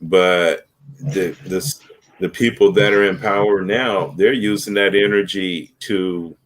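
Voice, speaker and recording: Low-voiced guy holding forth, swears a lot, talks with emphasis. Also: fairly even intonation, slow, low-quality recording. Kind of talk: casual chat.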